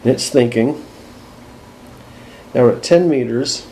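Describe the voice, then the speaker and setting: A man's voice: medium pitch, measured pace, warm tone. American man explaining casually, noisy background. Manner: plain-spoken, explanatory. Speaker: host demonstrating a radio. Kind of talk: casual unscripted product walkthrough. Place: indoors at home.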